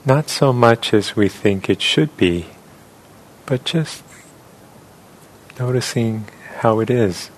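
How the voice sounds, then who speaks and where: A man leading a guided meditation as a teacher, speaking gently and with long pauses. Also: mid-range voice, animated, a little hiss or hum, low-quality recording.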